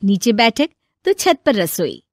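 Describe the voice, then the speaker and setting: A woman's voice: mid-range voice, big pitch swings, unhurried, no echo, clean studio sound. A woman narrator reading a scripted moral family story in storytelling style.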